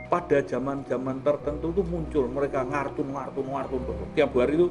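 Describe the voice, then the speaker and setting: A man's voice: mid-range voice, fairly even intonation, brisk, over music. A man with a Javanese accent hosting a casual, rambling art talk, chatty and colloquial, often tagging his remarks with small questions. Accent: Javanese-accented Indonesian. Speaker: host of an art talk show.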